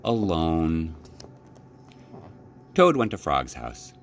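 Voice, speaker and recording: A man reading a children's storybook aloud as a library storyteller, with expressive, deliberate phrasing. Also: a bass voice, a little hiss or hum.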